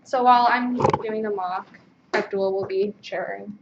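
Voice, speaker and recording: A woman's voice: medium pitch, steady tone, normal speed. A young American woman chairing a student meeting in an informal, conversational way. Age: young adult. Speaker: student government committee chair. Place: meeting room. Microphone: distant room microphone. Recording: distant muffled mic, echoey room, noisy.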